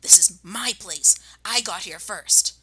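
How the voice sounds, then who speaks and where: A woman's voice: medium pitch, takes it slowly, sharp tone, breathy edge, no echo, exaggerated voice. A woman voicing a rude, territorial rat in a storybook, snappish and indignant. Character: shrieking, territorial, rude rat hoarding his cider.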